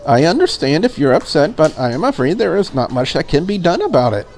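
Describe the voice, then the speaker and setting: Woman playing a polite, curious maid, lively pitch. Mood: polite, apologetic. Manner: courteous, accommodating, measured. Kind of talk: visual novel character read aloud.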